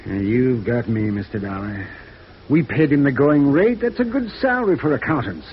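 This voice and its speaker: An actor playing a hesitant, troubled accounting chief who repeats himself, in 1950s radio drama style. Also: male voice, medium pitch, animated, normal speed, close to an everyday voice. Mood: baffled, flustered. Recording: muffled, noisy vintage radio recording.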